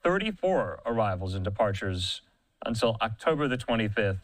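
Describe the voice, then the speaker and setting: A man's voice: lowish voice, big pitch swings, moderate pace, dry recording, poor audio. A man anchoring live breaking news as a news anchor, speaking close to the microphone.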